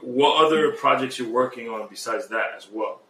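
Man, bright voice, host asking questions, stumbling restarts, roomy echo. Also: medium pitch, moderate pace. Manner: stumbles and repeats words, joking. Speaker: podcast host. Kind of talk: casual chat. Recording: echoey room, mic at a distance.

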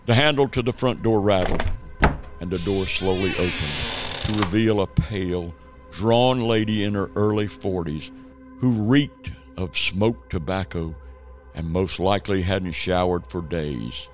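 A man's voice: sits low, measured pace, soft background music. A man from the southern United States narrating a scripted radio play as a storyteller. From Savannah, Georgia, United States.

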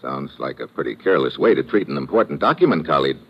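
An actor playing a blunt, skeptical American investigator in an old-time radio drama, terse and probing in a colloquial way. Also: male voice, very deep voice, lively intonation, fast, husky, heavily acted. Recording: muffled vintage radio recording.

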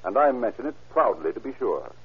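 A man's voice: mid-range voice, big pitch swings, fairly quick, husky. A man with an American accent announcing from a script in formal, declarative 1930s radio style. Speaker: announcer and sponsor spokesman. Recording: muffled vintage radio recording.

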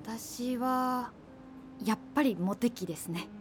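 An actress playing a polite, reserved, slightly hesitant young music fan in a radio drama. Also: female voice, on the high side, unhurried, close to an everyday voice. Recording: music behind the voice.

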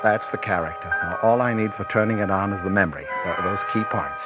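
An actor playing a scheming male prisoner who fakes guilt-crazed madness, switching between sly murmurs and theatrical shouting in a 1940s radio play style. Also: low-pitched, fast, loud music behind the voice, natural voice. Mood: sly, gloating.

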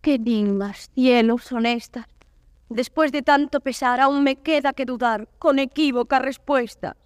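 A wronged young noblewoman who pleads for her honor with pride and passion. Her high female voice is very smooth and resonant. She declaims stage verse, recorded dry and close. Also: a little slow, dry recording. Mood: hurt, distressed. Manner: declamatory, impassioned. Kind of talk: classical Spanish verse stage acting.

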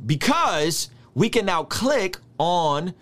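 Energetic guy in his 30s, russian is his first language, teaching bible stuff casual and slangy, bouncy intonation. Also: sits high, slow pace. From United States.

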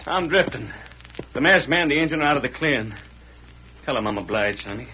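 Bitter young cowboy, swinging delivery. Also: male voice, medium pitch, expressive, a little fast, pushed and bright. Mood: resigned, determined. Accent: American Western drawl. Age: young adult. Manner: folksy cowboy slang, emphatic. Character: bitter, desperate young cowboy accused of robbery. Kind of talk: 1940s radio western acting. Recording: noisy vintage radio recording.

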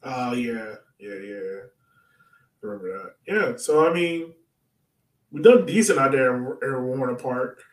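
Roomy, slightly distant male podcast co-host, mid-pitched, informal and slangy, trading sports opinions. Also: expressive, a little slow. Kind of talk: casual soccer banter and questions. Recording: echoey room, off the mic.

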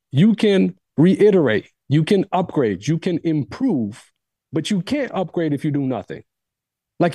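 A man's voice: fairly high pitch, mellow, no room sound, clean studio sound. A man whose first language is Arabic, giving an emphatic motivational talk as a podcast guest, repeating phrases for stress.

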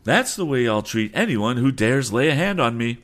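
A man's voice: on the low side, huge pitch swings, moderate pace, vocal fry, pitched above the performer's own voice, heavily acted. Man acting proud, emphatic American sea captain. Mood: indignant, defiant. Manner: indignant, emphatic protesting. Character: mild-mannered sea captain turned indignant, proud and defiant.

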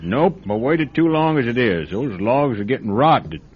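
A man's voice: medium pitch, lively intonation, moderate pace, husky. An actor playing a stubborn, busy farmer father in a radio drama, folksy and brusque in manner. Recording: dull, band-limited radio recording.

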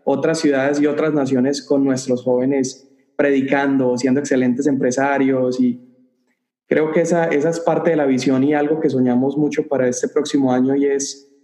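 A man's voice: mid-range voice, mellow. Man from Colombia in a roomy space. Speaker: youth pastor. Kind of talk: casual interview answer. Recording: roomy echo.

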